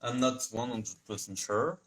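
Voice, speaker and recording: Halting young French-accented man. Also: mid-range voice, fairly bright tone. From France. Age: young adult. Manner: halting, searching for words, many "uh"s. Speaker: guest. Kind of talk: casual interview answers. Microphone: video call.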